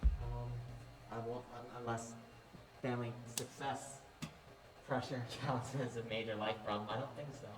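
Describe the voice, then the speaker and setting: A young man in a student stage play, acting an anxious, self-doubting high-school boy who speaks hesitantly and stammers. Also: steady tone, normal speed, close to an everyday voice. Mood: anxious, defensive. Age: young adult. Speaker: student actor in a one-act play. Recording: echoey, distant mic, clear noise.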